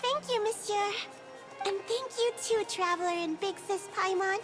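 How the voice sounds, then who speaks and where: A woman's voice: very high voice, moderate pace, music in the background. A woman voicing a cute, sweet, polite little creature girl in a video game. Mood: shy, grateful.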